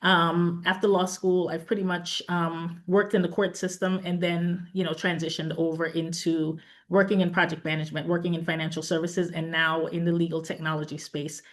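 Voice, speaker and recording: Woman, from the West Indies, low-ish voice, hesitant, lots of fillers. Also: flat delivery, moderate pace, hardly any pauses. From Saint Vincent and the Grenadines. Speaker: panelist, project manager. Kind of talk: panel self-introduction.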